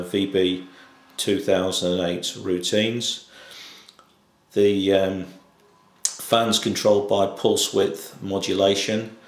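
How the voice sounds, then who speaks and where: Halting, slow-talking man in an echoey room. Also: deep voice, creaky. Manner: hesitant, halting, matter-of-fact delivery. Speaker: electronics hobbyist presenting a project. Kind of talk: unscripted technical demonstration narration. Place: indoors in a small tiled kitchen-like room. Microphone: camcorder microphone behind the camera.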